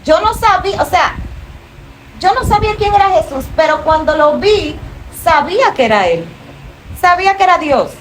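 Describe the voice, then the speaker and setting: A woman's voice: extremely high pitch, lively intonation, normal speed. Dominican woman giving an earnest testimony. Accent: Dominican Spanish. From Dominican Republic. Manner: earnest storytelling, rising with emotion. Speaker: minister, interview guest. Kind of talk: testimony interview. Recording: distant mic, noisy room.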